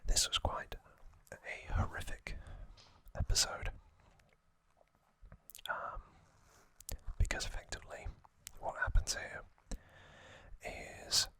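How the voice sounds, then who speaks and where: A man speaking in a breathy, unvoiced whisper, telling trivia in a soothing, halting ASMR style. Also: bright, forceful tone. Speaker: ASMR creator, host.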